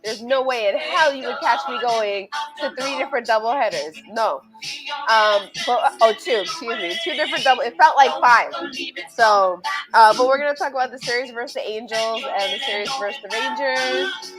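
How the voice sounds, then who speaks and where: A congested woman co-hosts a casual sports podcast. She chats along with many fillers. Her voice sits a bit high, and loud music plays behind her. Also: moderate pace, poor audio. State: congested, recovering from illness. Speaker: podcast co-host. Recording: music playing loudly behind.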